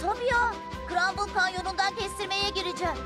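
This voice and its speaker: A woman voicing an eager, proud young male steam engine in a children's cartoon dub, boyish and expressive. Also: very high voice, fairly quick. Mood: eager, cheerful. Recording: music behind the voice.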